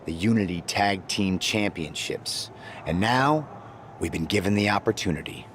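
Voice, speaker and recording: A man's voice: lowish voice, lively intonation, normal speed, a bit bright, slight background noise. A man playing a cocky pro wrestler in a video game, delivering a smug, mock-reassuring promo. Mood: smug, resolute.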